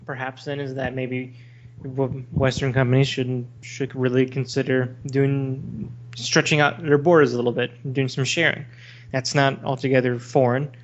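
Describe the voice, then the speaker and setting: A man co-hosting a podcast, talking casually in an opinionated, rambling way. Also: mid-range voice. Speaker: podcast co-host. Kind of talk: casual discussion of video games. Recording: dull lo-fi voice recording.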